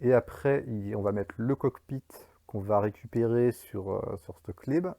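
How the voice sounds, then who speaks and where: Chatty man with a low mellow voice. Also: measured pace, gravelly, no room sound. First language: French. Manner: chatty, many fillers and restarts. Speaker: indie game developer. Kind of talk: casual devlog narration.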